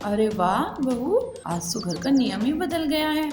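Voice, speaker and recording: A woman's voice: sits high, lively intonation, a little slow, voice pushed up. A woman voicing an older bird mother-in-law in a cartoon, blunt and bossy in manner. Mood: surprised, relaxed. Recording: echoey reverb with music behind.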